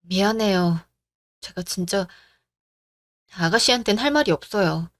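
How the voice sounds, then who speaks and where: A woman voicing a calm, firm young woman who argues in a reasoned way in a story radio drama. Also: medium pitch, measured pace, no echo, studio quality. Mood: gentle.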